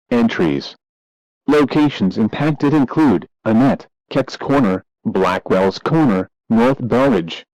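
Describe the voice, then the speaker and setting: A man reading scripted weather alerts as a radio announcer in a measured, impersonal, formal manner. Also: medium pitch, a little mellow, no room sound, poor audio.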